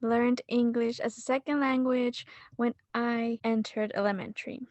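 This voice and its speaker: A woman's voice: fairly high pitch, flat delivery, normal speed, a little mellow, no room sound. A woman whose first language is Spanish, a guest on a casual podcast, rambling with many "like" and "you know" fillers.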